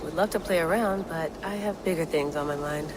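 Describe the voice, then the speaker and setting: A woman's voice: low-pitched, a little fast, background noise, close to an everyday voice. A woman playing a weary, driven researcher in a video game, speaking in an understated, terse way. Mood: brooding. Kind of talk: naturalistic video game acting.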